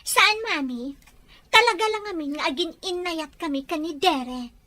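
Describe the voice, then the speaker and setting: High, bright, smug young love rival. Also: female voice, animated, normal speed, exaggerated voice. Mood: defensive. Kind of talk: Ilocano radio drama acting.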